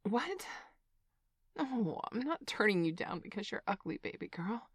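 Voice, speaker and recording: A woman's voice: medium pitch, big pitch swings, unhurried, breathy edge, no echo, clean studio sound. A woman acting a flustered parent in a scripted audio roleplay, who swings between scolding and soothing. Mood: stern. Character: flustered protective parent, middle-aged.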